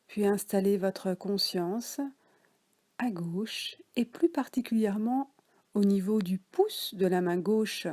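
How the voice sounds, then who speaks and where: A French woman guiding a yoga nidra relaxation session, speaking softly with some words whispered. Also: medium pitch. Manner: slow, soft, partly whispered guidance. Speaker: relaxation guide.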